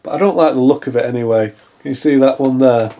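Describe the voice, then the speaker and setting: A man's voice: mid-range voice, fast, dark tone. A man giving a casual hands-on repair commentary, tentatively thinking aloud as he works. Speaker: electronics repair hobbyist, video host. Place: indoors at a wooden workbench.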